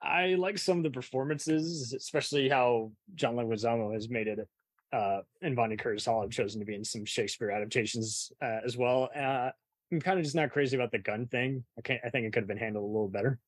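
A man's voice: mid-range voice, quick pace, dry recording. A man chatting casually as an interviewer, offering rambling, hedged opinions. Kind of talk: casual chat.

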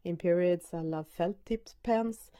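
A woman in her forties from Sweden, speaking English with a Swedish accent as a podcast host, talking in an unhurried way with hesitant fillers and repeated words. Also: lowish voice, warm tone. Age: 40s. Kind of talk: casual personal introduction.

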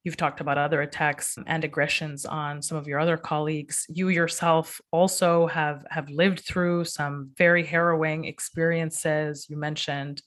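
A woman's voice: deep voice, level tone, moderate pace, hardly any pauses, fairly bright tone. A woman co-hosting an interview podcast, earnest and indignant, often restarting her sentences. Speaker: podcast co-host.

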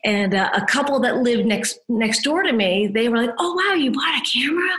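Chatty woman with a higher voice. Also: normal speed. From New Hampshire. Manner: chatty, laughs often, lively quoted voices. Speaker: guest photographer. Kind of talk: casual interview chat.

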